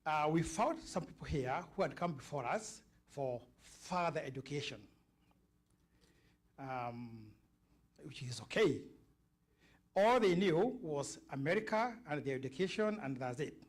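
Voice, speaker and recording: A mature man with a Kenyan accent addresses his community in a slightly high voice. He speaks informally and haltingly, often checking in with listeners through short questions. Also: lively intonation.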